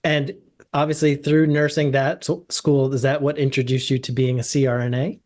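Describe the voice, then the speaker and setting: A man hosting a personal finance interview, asking questions in an easygoing way with many pauses and restarts. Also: mid-range voice, level tone, darkish tone. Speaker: podcast host, financial planner. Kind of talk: conversational interview.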